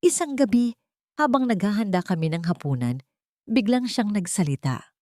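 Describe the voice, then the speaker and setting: A woman's voice: medium pitch, big pitch swings, moderate pace, dark tone, no echo, studio quality. A woman reading a scripted dramatic story as an audiobook narrator.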